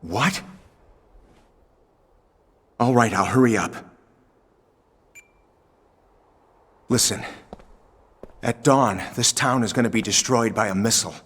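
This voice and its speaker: An actor playing a cocky, protective hired soldier in a video game cutscene, with a slightly husky voice. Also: male voice, fairly low pitch, a little slow, pauses often, a bit bright. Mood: urgent, commanding.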